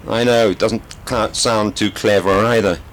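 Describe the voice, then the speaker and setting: A man's voice: fairly low pitch, fairly even intonation, moderate pace, fairly bright tone, lightly acted, poor audio. A man voicing a meek, hapless middle-aged male prisoner, humble and sheepish.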